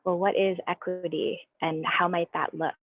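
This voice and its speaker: A woman giving a research presentation on a video call, explaining in a measured way with small restarts. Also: on the low side, level tone, no room sound. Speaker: guest speaker presenting research. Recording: tinny phone-like line, dry.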